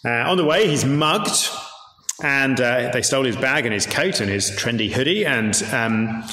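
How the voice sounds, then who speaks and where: A man's voice: mid-range voice, animated, normal speed, pushed and bright. A man with an English accent preaching a sermon in a conversational, somewhat hesitant way with dry asides. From York, England. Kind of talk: sermon with storytelling. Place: large reverberant indoor room. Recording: echoey hall, reverberant, some background noise.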